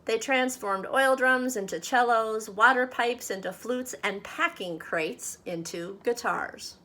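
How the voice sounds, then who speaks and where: Bright-voiced woman reading a children's storybook. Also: a little high. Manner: measured, dramatic phrasing for young listeners. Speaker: storybook reader. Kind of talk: expressive read-aloud of a children's picture book.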